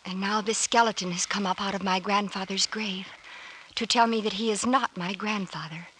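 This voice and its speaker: An actress playing an anxious, polite young injured heroine in an old-time radio drama. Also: female voice, mid-range voice, steady tone, normal speed, a bit bright, natural voice. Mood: shaken. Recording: slightly noisy vintage radio recording.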